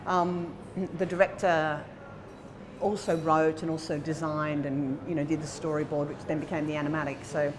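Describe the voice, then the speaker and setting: An Australian woman in her forties talking conversationally in an interview as a film producer, in an animated, emphatic, informal manner. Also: low-pitched, moderate pace, noisy background. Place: indoor room by a bright window at a festival. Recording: chatter of people in the background.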